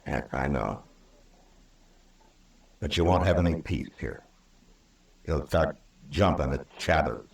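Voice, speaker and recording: A man playing a stern, weary Old West lawman in a radio western, speaking in measured, terse lines with dry sarcasm. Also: a bass voice, creaky, full character voice. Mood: grave, regretful. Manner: measured, terse, dryly sardonic. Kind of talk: old-time radio western acting and narration. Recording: distant, roomy old radio recording.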